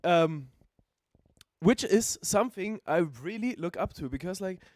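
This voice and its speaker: A man with a German accent, a native German speaker, chatting casually as a co-host in a halting, self-mocking way. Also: sits high, lively intonation, dry recording. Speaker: co-host reviewing beatbox competition entries. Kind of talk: casual reaction chat.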